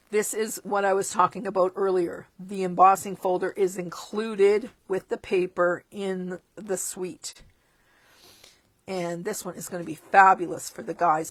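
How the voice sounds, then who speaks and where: A woman's voice: on the low side, dry recording. A woman demonstrating stamping supplies in a casual walkthrough of a catalog, chatty with chuckling asides, and losing her voice. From Canada. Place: tabletop craft desk indoors.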